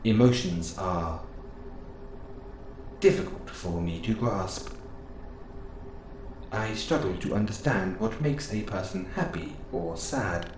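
A man playing a gentle, curious robot assistant who speaks in formal, precise phrases, close and intimate in an audio roleplay. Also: deep voice, lively intonation, slow pace, pauses often. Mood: gently curious. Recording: roomy sound with clear background noise.

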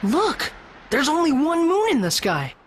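A woman's voice: high voice, wildly swooping pitch, a little slow, a little hiss or hum, full character voice. A woman voicing a plucky, determined young hero in a fantasy video game cutscene. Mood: amazed. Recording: music behind the voice.

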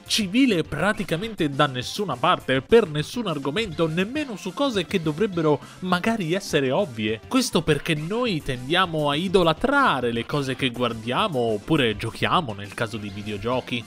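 A man's voice: on the high side, a bit bright. A man narrating a casual opinion commentary voice-over in an informal, conversational way with ironic asides. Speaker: video creator and narrator. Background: music under the voice.

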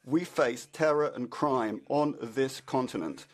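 Man, simultaneous interpreter, mid-pitched voice, frequent fillers, hurried bursts. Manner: halting, with frequent "uh" fillers, then quickening bursts. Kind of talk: parliamentary speech interpretation.

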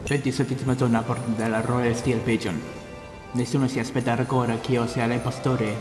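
A weary cartoon space crewman with murder in him, acted by a man. He speaks at middle pitch in a roomy, distant recording, over music. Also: lightly acted. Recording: echoey, distant audio under music.

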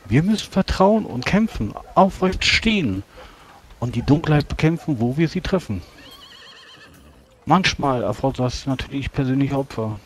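A man acts out a solemn fantasy priest for a tabletop game. He preaches in deliberate, old-fashioned formal speech at a middling pitch, with faint noise behind him. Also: expressive, lightly acted. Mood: earnest, exhorting. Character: solemn, measured male priest counselling an impulsive comrade. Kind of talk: tabletop roleplay in character.

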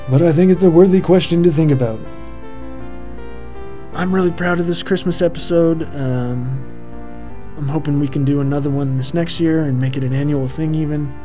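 A man in his thirties giving a rambling, relaxed behind-the-scenes commentary with quaintly formal turns of phrase, full of ums and uhs. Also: on the high side, normal speed, long pauses. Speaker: puppeteer and co-host of a commentary track. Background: faint background music.

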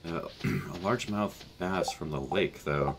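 A man's voice: rumbling low, measured pace, creaky, background noise. A man in his thirties with a stuffy nose, chatting casually and rambling while he plays a farming game on a headset mic. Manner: relaxed, rambling, thinking aloud. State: congested from a cold. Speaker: gaming channel host. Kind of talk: casual let's play commentary. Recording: game music playing under voice.

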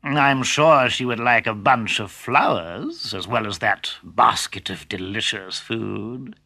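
A man voicing a cunning, polite male wolf in a storybook reading, coaxing and smooth. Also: lowish voice, slow pace, pushed and bright, heavily acted. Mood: sly.

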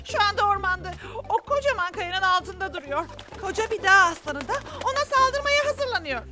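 Very high urgent cartoon messenger. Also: female voice, very animated, moderate pace, loud music behind the voice. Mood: urgent, alarmed. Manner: exaggerated, urgent calling out. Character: urgent messenger crying for help. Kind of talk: animated children's cartoon dub.

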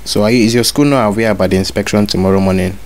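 A man's voice: fairly low pitch, fairly even intonation, very fast, a little mellow. A man making a prank phone call as a fake school inspector, bossy and repeating his orders over and over. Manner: bossy, repetitive, demanding orders.

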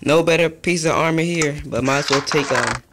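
A young man giving casual, rambling commentary over his own gameplay, chatty and easily excited. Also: fairly high pitch, normal speed, very noisy. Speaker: gaming youtuber.

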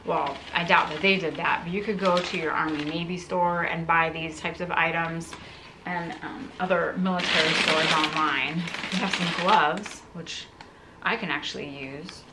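A woman's voice: deep voice, steady tone, normal speed, very noisy. A woman in her thirties talking casually to camera as she hosts an unboxing vlog, musing aloud in a conversational way. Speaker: content creator, video host. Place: living room, indoors.

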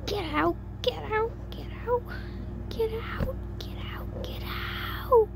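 A woman's voice: slow, creaky, in a whisper, full character voice. A woman voicing a tormented female cartoon pegasus pony from a fan story, pleading desperately in a strained, repetitive way. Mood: frantic anger. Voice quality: high-pitched, strained. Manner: pleading, repeating herself. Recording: noisy homemade recording with hum.

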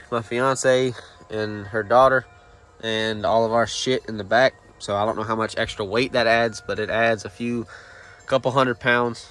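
A man's voice: medium pitch, a bit bright. A young man talking casually and plainly in an unscripted, rambling way, as a car vlogger filming on a handheld phone. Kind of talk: casual unscripted vehicle walkaround. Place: outdoors in a driveway and at open car door. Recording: outdoor handheld recording, ambient noise.

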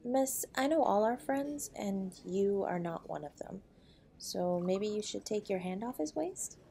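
A woman playing a protective, loving wife in an intimate audio roleplay, with a breathy voice. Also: medium pitch, lively intonation, a little slow, warm tone. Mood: firm, indignant. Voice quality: breathy, often dropping to a whisper. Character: protective loving wife comforting and defending her husband.

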